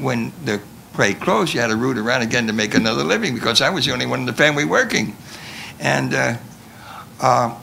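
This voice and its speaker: A man's voice: medium pitch, vocal fry, pushed and bright, low-quality recording. An older man, a veteran performer, telling rambling anecdotes to an audience with repeated phrases. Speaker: veteran radio actor sharing memories. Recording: live panel with faint noise.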